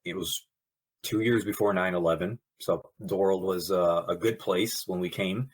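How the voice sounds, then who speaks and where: A man's voice: sits low, breathy edge. A man in his thirties, born in Pakistan and raised in Brooklyn, talking candidly as a podcast guest. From Pakistan, raised in Brooklyn, New York. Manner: candid, animated storyteller with quick laughs. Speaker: guest, nurse anesthetist. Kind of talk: podcast interview.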